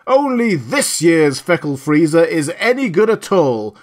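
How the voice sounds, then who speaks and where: A man voicing an enraged, sneering advertising pitchman in an audiobook, howling sales slogans in a harsh voice. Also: sing-song, a little slow. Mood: sneering, contemptuous. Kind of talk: dramatised science-fiction audiobook.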